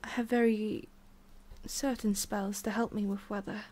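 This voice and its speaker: A woman playing a haughty, centuries-old tsundere forest witch in an ASMR roleplay, scolding the listener with condescension and theatrical pauses. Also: mid-range voice, level tone, a little mellow, lightly acted. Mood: condescending.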